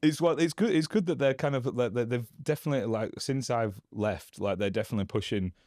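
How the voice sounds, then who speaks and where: A man's voice: mid-range voice, quick pace, a little mellow, no room sound, clean studio sound. A young man with a northern English accent, chatting as a guest in a casual interview, rambling with lots of fillers and restarts. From Yorkshire, England.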